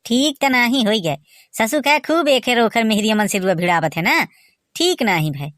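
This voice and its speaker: A man plays Chhatilaman, a bragging, teasing village son-in-law with a Bagheli accent and a slightly high voice. Clear music plays under his lines in this comic cartoon. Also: expressive, moderate pace, fairly bright tone. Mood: boastful. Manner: boastful, teasing banter.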